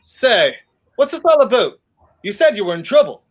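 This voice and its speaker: A skeptical young gentleman played by a man with very lively, swooping intonation. He is wry and flippant, delivered theatrically as in a 1930s radio play. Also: very high voice, moderate pace, a little hiss or hum. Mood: incredulous. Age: young adult.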